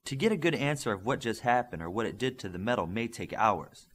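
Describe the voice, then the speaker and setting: A man's voice: fairly low pitch, expressive, fairly quick, a bit bright, husky. A man voicing a motherly woman scientist with an eager, emphatic manner in an audiobook. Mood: businesslike.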